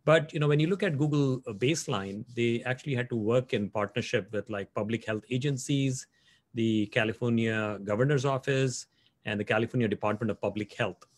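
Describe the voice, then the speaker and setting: A man's voice: mid-range voice, a little fast, no room sound. A man in his forties hosting a video call interview, earnest, with frequent "you know". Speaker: host. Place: home office.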